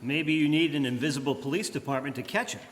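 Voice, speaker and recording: A man playing a calm, wry gentleman detective in an old-time radio drama, speaking with composure. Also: on the high side, fast talker, slight background noise, close to an everyday voice. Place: live performance in a church. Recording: echoey church hall.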